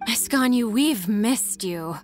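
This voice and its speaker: A woman's voice: fairly high pitch, animated, slow. A woman voicing a weary, devoted young office worker in a video game, admiring and deferential. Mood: adoring. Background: faint music.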